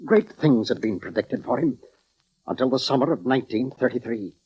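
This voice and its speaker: A man's voice: mid-range voice, animated, normal speed, breathy edge. An actor playing a weary, courteous male concert musician with formal, theatrical phrasing in a 1940s radio drama. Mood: weary, reflective. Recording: dull, muffled vintage radio recording.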